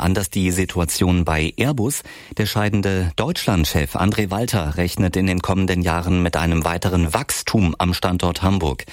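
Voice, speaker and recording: A man reading radio news in a measured, formal way. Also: low-pitched, no room sound, studio quality. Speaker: news presenter. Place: radio studio.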